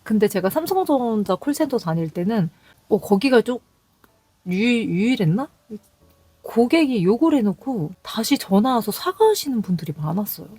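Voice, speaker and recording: Chatty woman with a breathy voice. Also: a little high, big pitch swings, normal speed, dark tone, no room sound. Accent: Seoul Korean. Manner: chatty, candid storytelling with quoted callers. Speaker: call center agent sharing work stories. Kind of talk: casual talking vlog.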